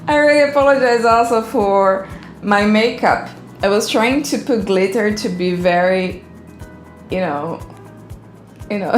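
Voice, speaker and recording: A woman's voice: medium pitch, reverberant. A young woman from Brazil with a Brazilian accent, talking casually to camera in English as her second language. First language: Portuguese. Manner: chatty, informal, many fillers. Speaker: vlogger.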